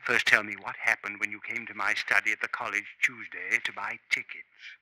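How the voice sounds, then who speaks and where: A man's voice: lowish voice, fairly even intonation, brisk, sharp tone, low-quality recording. An actor playing a nervous, evasive middle-aged male professor in a 1950s radio drama. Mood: coaxing, anxious.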